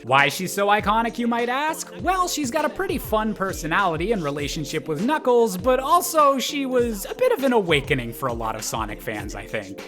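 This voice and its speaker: A man's voice: high-pitched, bright, forceful tone. A man narrating a comedic video game critique in an exaggerated, sarcastic, mock-exasperated way, with rambling asides. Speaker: host of a parody video game critique series.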